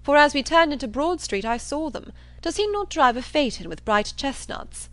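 Lady doing a brash young gent from a storybook, loud and bossy, swoops up and down, pushy. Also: high voice, big pitch swings, normal speed, no room sound, voice pushed up. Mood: insistent. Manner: blustering, emphatic exclamations. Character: loud, brash, boastful young man, overbearing and impatient.

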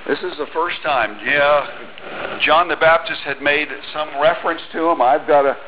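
A man's voice: a little high, unhurried, dull audio, noisy background. A middle-aged man preaching a sermon in a church on a headset mic, talking conversationally with small restarts and reading scripture aloud. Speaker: pastor. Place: church sanctuary.

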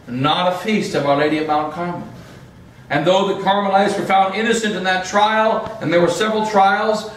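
A middle-aged man preaching a sermon as a priest, emphatic, with pauses, in a small home chapel, recorded from a camera across the room. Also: sits high, slight background noise. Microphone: far camera. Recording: echoey room, from far off.

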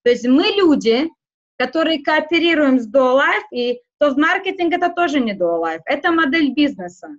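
High-voiced woman searching for words. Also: lively intonation, moderate pace, muffled recording. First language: not Russian; schooled outside Russian. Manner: hesitant, searching for Russian words, many fillers and restarts. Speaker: interview guest. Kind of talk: casual conversational interview.

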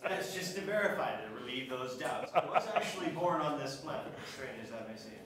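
A man's voice: fairly high pitch, expressive, brisk. A male student joking with the class in a classroom. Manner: joking, chatty. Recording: echoey classroom with chatter.

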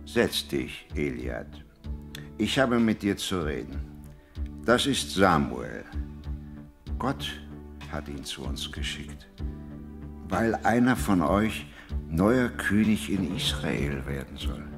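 An actor playing a hospitable, measured peasant father in a Bible audio drama. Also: extremely low pitch, very animated, over music, heavily acted. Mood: serious. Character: hospitable, concerned peasant father of grown sons.

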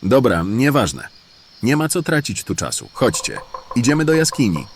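A man's voice: medium pitch, sing-song, moderate pace, slight background noise. A man playing a wary, grumbling outlaw in a fantasy audio drama. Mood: suspicious. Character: wary, grumbling male bandit, anxious about capture. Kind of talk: fantasy radio drama acting.

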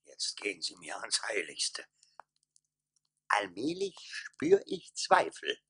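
An actor playing a pompous, flustered foreign envoy in a comic radio play, halting and theatrical. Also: male voice, lowish voice, wildly swooping pitch, fairly bright tone, slightly rough, heavily acted. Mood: outraged, panicked. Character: pompous, flustered male Oriental envoy, easily exasperated.

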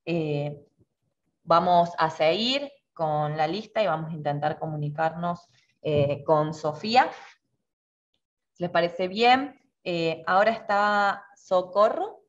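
A woman's voice: lowish voice, slow. A woman in her thirties moderating an online meeting and introducing the next speakers. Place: indoor room with bookshelves, on a video call. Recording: dull lo-fi video call audio.

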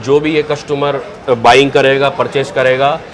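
A middle-aged man presenting his company's products at a busy expo booth, earnest and salesy, pausing often with fillers. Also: mid-range voice, level tone. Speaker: company representative. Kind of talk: product explanation in interview.

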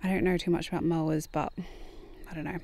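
A woman's voice: on the low side, fast, a little hiss or hum. Chatty young woman vlogging outdoors. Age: young adult. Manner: chatty, relaxed, a little rambling. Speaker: gardening vlogger. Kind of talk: casual vlog talk to camera. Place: outdoors in a large garden. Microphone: phone or camera held at arm's length.

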